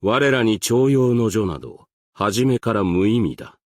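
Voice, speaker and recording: A man voicing a composed, stern, ancient strategist and Go master for a game, with formal, archaic, measured and reserved diction. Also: fairly low pitch, expressive, a little mellow, dry recording, studio quality. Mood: solemn, resigned. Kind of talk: scripted game character voice lines.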